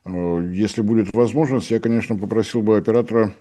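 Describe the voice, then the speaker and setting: A man's voice: fairly low pitch, steady tone, a little fast, warm tone. A middle-aged man giving sarcastic, analytical commentary as an energy analyst guest, with ironic asides and acted-out dialogues. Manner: ironic, dryly mocking, acts out dialogues.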